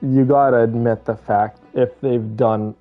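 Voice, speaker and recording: A man's voice: medium pitch, fairly even intonation, measured pace. A man giving informal, opinionated reaction commentary while playing a game, in a conversational style. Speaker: game streamer. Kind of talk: casual reaction commentary over gameplay. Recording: slightly dull audio, game music behind.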